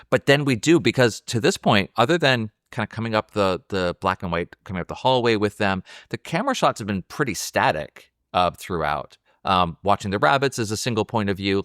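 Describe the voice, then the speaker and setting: A man's voice: sits low, a bit bright, clear, resonant tone, no room sound, studio quality. A man hosting a podcast, talking casually and enthusiastically about film as he thinks aloud. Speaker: podcast host.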